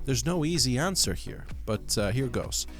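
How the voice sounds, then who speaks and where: A man from London with a mixed English, Irish and American accent, presenting scripted vlog commentary with rhetorical questions and deliberate pauses. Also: medium pitch, very animated, fairly bright tone, soft background music. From London, England. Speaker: host of a crypto video channel.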